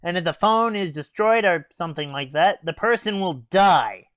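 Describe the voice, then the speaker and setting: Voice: mid-range voice, animated, normal speed, fairly bright tone, no echo. A reviewer hosting a scripted comic review, playing the exasperated straight man. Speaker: host, anime reviewer.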